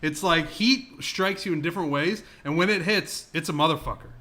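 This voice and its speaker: A man's voice: fairly high pitch, brisk, bright, forceful tone. A man co-hosting a golf podcast, telling wry self-deprecating stories. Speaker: podcast co-host. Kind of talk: casual chat.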